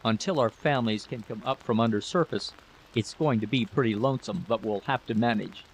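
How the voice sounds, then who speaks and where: A man reading the part of a gruff, grudging American military man in a story. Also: mid-range voice, brisk, a little hiss or hum, lightly acted, poor audio. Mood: grudging resolve.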